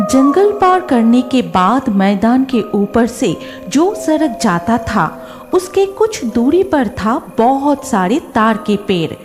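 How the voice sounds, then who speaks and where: A woman narrating a scripted cartoon horror story in a dramatic storytelling manner. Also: a little high.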